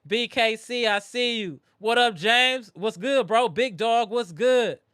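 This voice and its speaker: A man hosting a live postgame basketball reaction stream, animated and greeting viewers in the chat, his voice strained from yelling. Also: extremely high pitch, slow pace, smooth, no room sound, clean studio sound. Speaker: livestream host.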